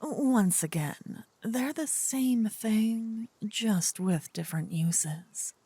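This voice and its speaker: A woman's voice: a little high, expressive, mellow, no echo, heavily acted. A woman voicing a seductive, possessive demon queen in an intimate fantasy ASMR roleplay, often whispering, with teasing, smug menace. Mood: teasing, condescending. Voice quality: breathy, husky, often whispering. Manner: slow, teasing, doting menace. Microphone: close, dry microphone.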